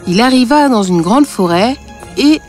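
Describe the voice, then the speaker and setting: A woman narrating a scripted fairy tale, with a deadpan streak. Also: fairly high pitch, normal speed, soft background music.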